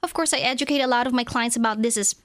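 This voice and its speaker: A woman's voice: on the high side, very fast, fairly bright tone, no room sound, studio quality. Chatty woman from the Philippines, Tagalog native. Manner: chatty, laughs often, acts out quotes. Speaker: realtor, interview guest. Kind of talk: casual interview answers.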